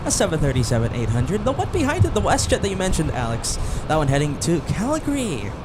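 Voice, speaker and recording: A man's voice: on the high side, wildly swooping pitch, fast. A man hosting a live plane spotting stream outdoors beside an airport runway, with enthusiastic sportscast-style commentary. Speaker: plane spotting livestream host. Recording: outdoor feed with clear traffic noise.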